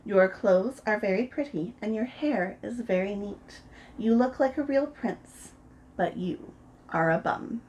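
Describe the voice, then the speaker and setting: A woman voicing a brave, clever young princess who speaks brightly and eagerly. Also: mid-range voice, lively intonation, unhurried, a little hiss or hum, lightly acted. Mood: scornful.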